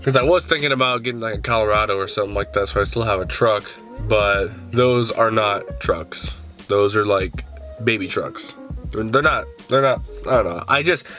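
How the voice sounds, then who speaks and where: A man's voice: on the low side, sharp tone. A young man with a stuffed-up, congested voice, rambling casually and swearing now and then as he walks around his truck for a vlog. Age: young adult. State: stuffed up from a cold. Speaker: car vlogger. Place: outdoors in a driveway by a truck.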